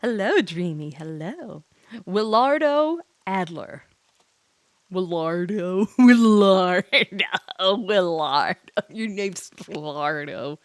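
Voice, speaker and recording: A woman's voice: fairly low pitch, expressive, takes it slowly, no echo. A giggly, tipsy woman chatting casually as she streams a game, breaking off to react. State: tipsy, drinking wine. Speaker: streamer, virtual YouTuber playing a game live. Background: faint background music.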